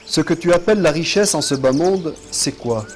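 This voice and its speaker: Casting: male actor for patient, wise holy man in an animated religious tale, solemn and instructive, music underneath. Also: a little high, normal speed, lightly acted. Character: calm, pious male prophet, patient and wise. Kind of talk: dubbed religious cartoon acting.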